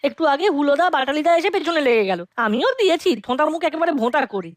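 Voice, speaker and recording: A woman's voice: sits high, expressive, very fast. A woman voicing a sulky, cheeky little boy in a children's cartoon, with a whiny, sassy manner.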